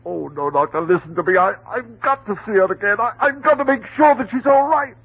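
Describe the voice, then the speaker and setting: An actor playing an anguished, hot-tempered, guilt-wracked husband in melodramatic, stammering old radio horror style. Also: male voice, sits high, fairly quick, slightly rough. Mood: guilty, pleading. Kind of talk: old-time radio horror drama acting. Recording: muffled, noisy old radio recording.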